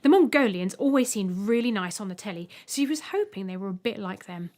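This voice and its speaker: A woman reading a scripted short story as an audiobook narrator, with expressive storytelling. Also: mid-range voice, fairly quick.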